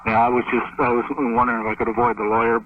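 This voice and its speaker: Quick American male caller, tinny phone line. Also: medium pitch, completely flat delivery, slight background noise. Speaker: caller to a radio show. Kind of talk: conversational phone call.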